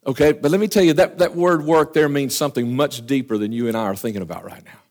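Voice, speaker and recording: A man preaching a sermon with fervor, often dropping to quiet asides. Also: medium pitch, quick pace. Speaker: pastor. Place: church.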